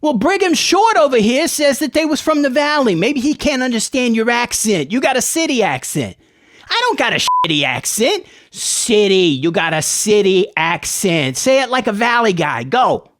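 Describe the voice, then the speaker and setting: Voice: mid-range voice, big pitch swings, moderate pace, a bit bright, dry recording, studio quality. The narrator voicing a dim, exasperated henchman who bickers in slangy, repetitive phrases. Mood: insistent, bickering. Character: dim, exasperated henchman squabbling with his partner.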